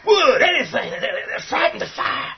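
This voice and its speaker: An actor playing a gruff, resolute middle-aged working man in a horror radio play, speaking in a folksy, commanding way. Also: very high voice, very animated, moderate pace, heavily acted. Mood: furious. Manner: folksy colloquial drawl, commanding, rough-spoken. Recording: dull, noisy lo-fi audio.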